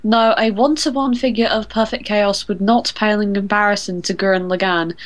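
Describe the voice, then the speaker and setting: A woman's voice: medium pitch, steady tone, fairly quick. A woman with a mid-range voice co-hosts a podcast. She chats informally and explains details with the eagerness of a fan. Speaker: podcast co-host. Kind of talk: casual chat.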